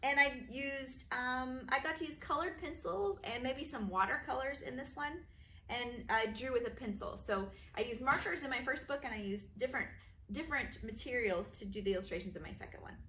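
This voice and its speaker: A woman teacher reading children's stories aloud in an expressive, sing-song way for young listeners. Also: sits high, fairly quick. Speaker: kindergarten teacher reading her own stories. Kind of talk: animated children's storybook reading. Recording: roomy echo, faint background noise.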